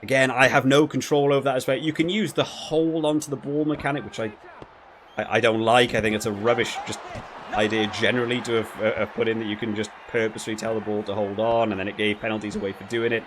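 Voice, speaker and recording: A man giving casual, critical gameplay commentary, thinking aloud in a halting way and repeating words as he goes. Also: medium pitch, fairly even intonation, a bit bright, a little hiss or hum. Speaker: gaming video creator reviewing while playing.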